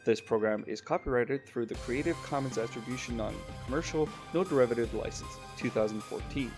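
A man with a medium-pitched voice reads closing credits as a programme announcer. It is a scripted read with faint music underneath. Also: a little fast. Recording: music behind the voice.

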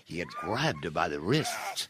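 A man's voice: sits low, big pitch swings, measured pace, crowd noise. A man narrating a radio play as a dramatic, scripted story. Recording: music behind the voice.

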